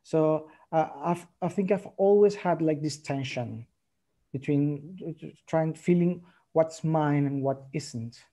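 Mellow, thoughtful young man. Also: fairly high pitch, slow pace. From Venezuela. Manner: thoughtful, hesitant, with restarts. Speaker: panelist writer. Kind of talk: panel discussion on a video call. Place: home room, indoors. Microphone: video call, earphone mic.

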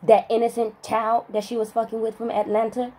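A woman vlogger in a spiritual rant monologue, emphatic and profane, stressing single words with long pauses. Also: fairly high pitch, little pitch movement, mellow, breathy edge.

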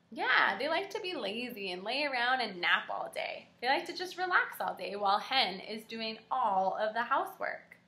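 A young woman teaching kindergarten, reading aloud and prompting children with animated, encouraging questions. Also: a little high. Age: young adult. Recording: echoey indoor room.

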